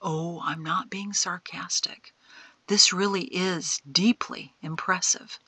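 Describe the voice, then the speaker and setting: Woman playing a breathy, wry old man. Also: lowish voice, steady tone, no echo, heavily acted. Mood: wryly sarcastic. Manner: unhurried, gently chiding. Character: wry, calm old man, an unseen mentor in darkness. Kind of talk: audiobook character acting.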